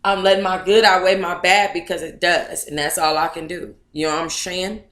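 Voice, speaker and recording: A woman's voice: on the low side, level tone, brisk, fairly bright tone, roomy sound. A woman on a casual livestream, talking to viewers in a playful, slangy, chatty way as she hosts and reads aloud. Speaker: livestream host reading their own book aloud.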